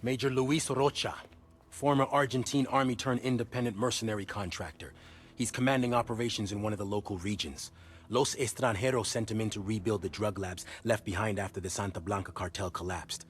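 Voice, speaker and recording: An actor playing an earnest, informal CIA contact in a video game cutscene. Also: male voice, lowish voice, brisk, soft background music, natural voice. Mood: businesslike. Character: grateful, earnest rescued male CIA intelligence contact.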